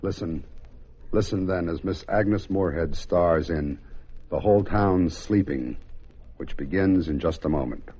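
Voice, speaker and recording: A man's voice: low voice, measured pace, dark tone. A man giving a dramatic, scripted introduction as host of a vintage radio drama. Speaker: host announcer. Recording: muffled vintage radio recording.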